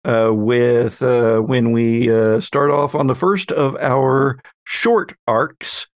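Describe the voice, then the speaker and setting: A man hosting a casual podcast chat in a halting, measured way. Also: mid-range voice, no echo, clean studio sound. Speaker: podcast host.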